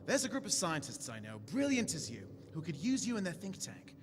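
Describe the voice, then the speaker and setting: A man playing a high-voiced, grandiose time-traveller. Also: huge pitch swings, fairly quick, a little hiss or hum. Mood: firm persuasion. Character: eccentric, commanding, kindly male time-travelling alien adventurer. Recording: echoey stage hall with music.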